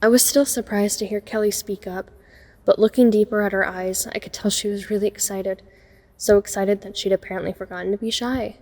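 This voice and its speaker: A woman's voice: medium pitch, fairly even intonation, a little fast, darkish tone, close to an everyday voice. A woman playing a watchful young woman who narrates a night break-in in a scripted audio drama. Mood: measured, suspenseful. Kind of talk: scripted first-person narration.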